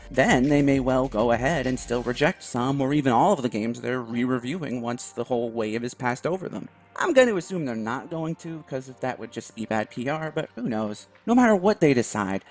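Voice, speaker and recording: A man giving conversational opinion commentary in an emphatic, wry manner with some sarcasm. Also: medium pitch. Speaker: video commentator. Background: background music.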